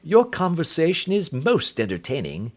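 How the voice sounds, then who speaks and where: A man voicing a calm, amused detective who speaks quietly and imperturbably. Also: fairly high pitch, normal speed, pitched above the performer's own voice, exaggerated voice.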